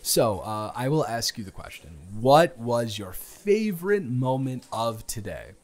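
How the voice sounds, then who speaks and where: A man's voice: medium pitch, big pitch swings, unhurried. A young man playing a shy, smitten date in an improvised romantic audio roleplay, hesitant and stammering, both teasing and earnest. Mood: tender, playful.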